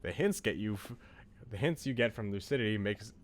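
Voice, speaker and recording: A man's voice: fairly low pitch, animated, fairly quick, slight background noise. A man streaming a game casually, chatting and reading on-screen text aloud. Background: faint game music.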